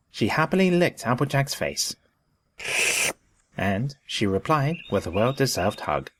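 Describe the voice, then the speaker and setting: A man's voice: mid-range voice, a bit bright, slight background noise. A man narrating a scripted story in a storybook style.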